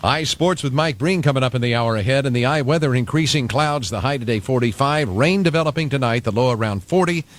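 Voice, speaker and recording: A man's voice: medium pitch, fast, few pauses. A man anchoring local news on the radio, reading from a script in a studio.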